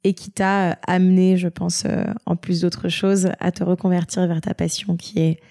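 Woman, podcast host, slightly low pitch, warm relaxed timbre. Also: normal speed. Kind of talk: podcast interview with a scripted introduction.

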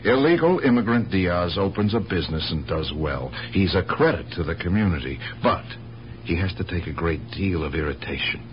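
Low-voiced, expressive police lieutenant. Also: male voice. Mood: regretful, probing. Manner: measured, hesitant, sympathetic questioning. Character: calm, regretful, probing male police lieutenant. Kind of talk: radio drama acting. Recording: muffled, hissy old radio broadcast.

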